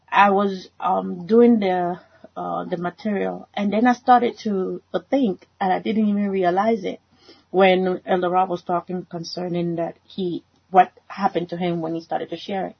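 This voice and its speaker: A woman's voice: lowish voice, steady tone, a little slow, gravelly, breathy edge. A woman whose first language is Spanish, sharing a spontaneous, heartfelt personal testimony as a church member. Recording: muffled lo-fi audio.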